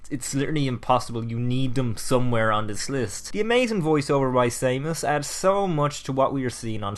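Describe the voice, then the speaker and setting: A man giving scripted but informal, enthusiastic commentary as the presenter of a top ten video. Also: mid-range voice.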